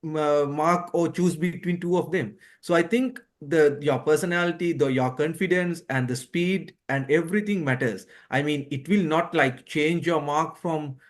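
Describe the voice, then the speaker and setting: Higher-pitched man, non-native English speaker. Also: normal speed, hardly any pauses. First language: not English. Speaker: guest, doctor who passed a surgical exam. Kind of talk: conversational interview answers.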